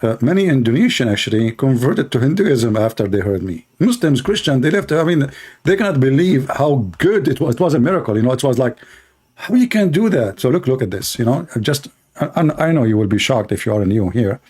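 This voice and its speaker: A man's voice: medium pitch, big pitch swings, fairly quick, a little mellow, husky. A man hosting a livestream debate, talking in a sarcastic, mocking, rambling way with lots of fillers.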